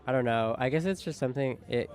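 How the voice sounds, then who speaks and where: A man's voice: medium pitch, fast talker, a crowd in the background. A man giving casual interview answers, informal and tentative in how he words them.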